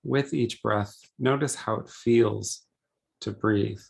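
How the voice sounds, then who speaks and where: A man leading a guided meditation, speaking gently and deliberately in short phrases with pauses between them. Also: on the low side, creaky, slightly rough.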